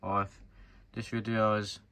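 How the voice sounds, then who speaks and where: Bright-voiced low-pitched man, casual review. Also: flat delivery, unhurried. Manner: informal, explanatory, addressing viewers directly. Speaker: presenter of a farm model review channel. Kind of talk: casual hands-on review narration.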